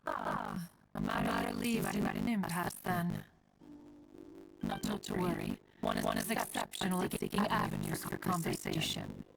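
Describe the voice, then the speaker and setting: An ancient, dignified mountain guardian from a fantasy game, with stately, old-fashioned formal diction. The delivery swings widely in pitch with a gravelly crackle, over music in distorted, stuttering audio. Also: female voice, wildly swooping pitch, normal speed, long pauses, heavily acted. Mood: fond, chatty. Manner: archaic, formal, stately phrasing, calling self one. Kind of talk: scripted video game dialogue.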